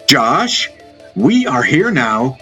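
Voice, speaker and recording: A man voicing a brisk, worldly and dismissive male soldier in an audiobook. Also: mid-range voice, very animated, sharp tone, soft background music, pitched above the performer's own voice, full character voice. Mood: urgent. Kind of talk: audiobook character dialogue.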